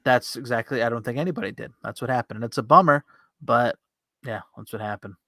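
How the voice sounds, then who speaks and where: Male co-host, medium pitch, easygoing banter, encouraging, repeats himself, dry close recording. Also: quick pace, sharp tone, dry recording. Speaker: podcast co-host. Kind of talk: casual podcast banter.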